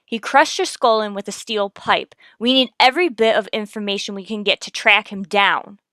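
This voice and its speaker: A woman's voice: mid-range voice, moderate pace, bright, forceful tone, dry recording, lightly acted. A woman playing a tough, firm police detective, terse and pressing, in a radio detective drama. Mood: stern. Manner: terse, hard-boiled, pressing. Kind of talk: old-time radio detective drama acting.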